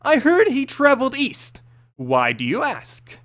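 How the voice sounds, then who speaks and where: Man voicing a high-pitched, oily middle-aged vizier. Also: huge pitch swings, a bit bright, exaggerated voice. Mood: guarded, probing. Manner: ingratiating, deliberate.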